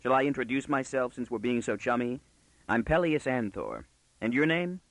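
A man's voice: mid-range voice, sing-song, moderate pace, no room sound. A man voicing a wry young male intruder in a storybook, gritty and sardonic with mocking courtesy. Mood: strained courtesy.

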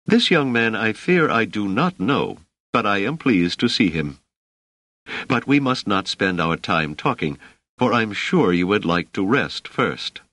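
A man's voice: lowish voice, very animated, unhurried, a bit bright, no room sound, pitched above the performer's own voice, heavily acted. A man voicing a plump, merry, middle-aged motherly woman in an audiobook, gracious and politely chatty. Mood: gracious welcome.

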